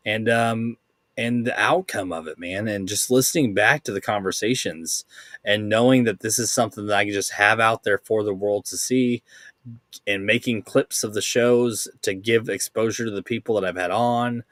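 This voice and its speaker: A man with a fairly low voice talks casually on a podcast. He is easygoing and often laughs, and he tells stories with plenty of restarts. The sound is close and dry, with no room. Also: normal speed, a bit bright, no room sound. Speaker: podcast co-host.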